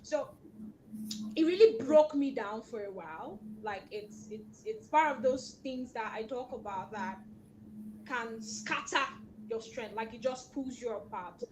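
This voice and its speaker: A woman from Nigeria talks in a slightly high voice, animated and storytelling, and acts out what others said. She is a guest in a casual chat over an online call, with faint hum behind her. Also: a little slow, low-quality recording. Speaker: guest, LinkedIn specialist.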